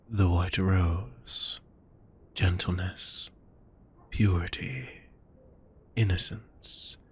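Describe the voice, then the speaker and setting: Man playing a slow, low-voiced possessive vampire. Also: heavily acted. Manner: slow, theatrical, formal, breathy murmurs. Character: suave possessive male vampire fortune teller, obsessive yet tender. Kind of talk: intimate scripted audio roleplay addressed to the listener.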